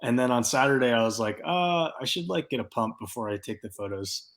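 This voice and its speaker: Relaxed man hosting a podcast. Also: medium pitch, fairly quick. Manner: thinks aloud with fillers, relaxed and reflective. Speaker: podcast host. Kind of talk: casual conversational podcast.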